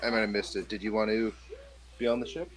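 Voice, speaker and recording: A man's voice: lowish voice, flat delivery, fast talker, crowd noise. A man running a tabletop role-playing game as game master, talking casually and laughing easily with his players. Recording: faint background noise.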